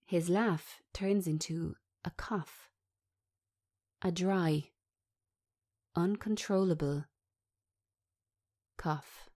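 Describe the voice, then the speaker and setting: A woman narrates a screenplay with a fairly low voice. She takes her time over each stage direction, very slow and measured in her descriptive reading. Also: darkish tone.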